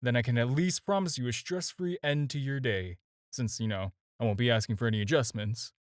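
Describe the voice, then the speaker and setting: A man's voice: medium pitch, brisk, no room sound, studio quality, lightly acted. A man acting a flirty, self-assured supervillain in an audio roleplay, talking to the listener in a teasing, casual, conversational way. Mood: flirtatious, casual. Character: flirty, smooth, self-assured male supervillain courting his tailor.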